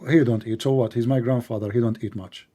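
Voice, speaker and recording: Dark-voiced man, teasing debater. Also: mid-range voice, steady tone, fast, slightly rough. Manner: teasing, sarcastic, questioning in plain broken English. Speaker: debate host. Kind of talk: live online debate, conversational.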